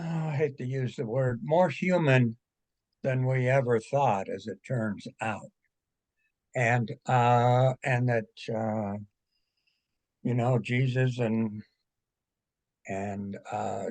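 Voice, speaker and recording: A man from the audience asking a long question over a video call, halting and searching for his words. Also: medium pitch, no echo.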